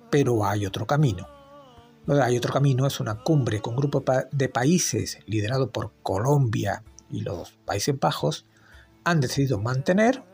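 A man's voice: mid-range voice, darkish tone. A man delivering a read opinion segment on environmental news, with dry irony and critical remarks. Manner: ironic, critical commentary. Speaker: environmental commentator.

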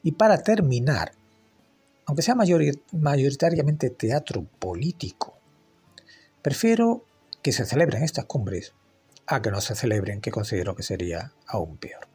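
A man's voice: medium pitch, wildly swooping pitch, a little slow, a little mellow, breathy edge, soft background music. A man delivering a read opinion segment on environmental news, with dry irony and critical remarks. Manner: ironic, critical commentary. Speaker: environmental commentator.

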